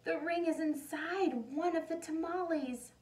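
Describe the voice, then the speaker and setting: A woman reading the part of an excitable young girl, eager and easily alarmed, in a storybook read-aloud. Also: very high-pitched, unhurried, echoey room, far from the mic, voice pushed up.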